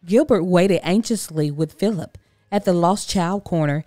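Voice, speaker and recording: A woman narrating a children's storybook in an expressive read-aloud style. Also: lowish voice, moderate pace, dry recording, clean studio sound, soft background music.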